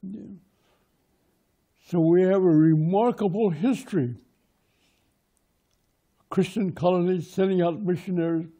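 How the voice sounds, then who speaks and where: A man whose first language is Lakota, telling oral history in short, plain sentences. His voice sits high for a man but has a very dark, mellow tone. He speaks slowly and unhurriedly. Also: breathy edge. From Pine Ridge, South Dakota. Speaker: interviewee, local historian.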